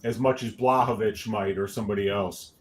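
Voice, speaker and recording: A man's voice: fairly low pitch, steady tone, a little fast, background noise. Laid-back man chatting fight talk. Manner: laid-back, conversational, chuckling. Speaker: podcast co-host. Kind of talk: casual sports watch-along chat.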